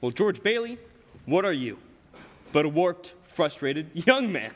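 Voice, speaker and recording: A young man playing a cold, mocking old banker in a radio play, questioning deliberately and with contempt. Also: a little high, very animated, fairly bright tone. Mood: gloating, threatening. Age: young adult. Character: cold, sneering, wealthy old miser banker. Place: stage in a school theater. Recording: reverberant hall with background noise.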